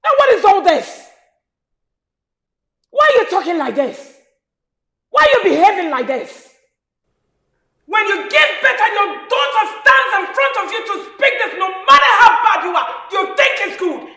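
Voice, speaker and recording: A roomy, slightly distant recording of a defiant, cold-hearted widowed mother in a family drama. She has a Nigerian accent and a high, forceful voice, confrontational in her manner. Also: very animated, normal speed, pauses often, heavily acted. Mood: exasperated. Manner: confrontational, repeats challenging questions. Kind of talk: Nollywood-style family drama acting. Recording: roomy, distant mic, faint music.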